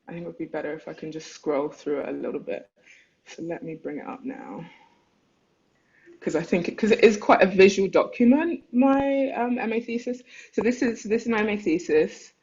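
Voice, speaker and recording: An artist lectures online about their own thesis and reads their own letters aloud. The delivery is reflective and searching, feeling its way through ideas. The voice sits at a middling pitch, heard through a dull, lo-fi call connection. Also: a little fast, darkish tone.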